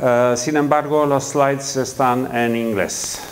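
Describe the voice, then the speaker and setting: A man's voice: medium pitch, fairly even intonation, takes it slowly, heavy background noise. A middle-aged man from Romania with a Romanian accent, giving a conference keynote talk with frequent hesitant fillers. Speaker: keynote speaker. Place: conference hall.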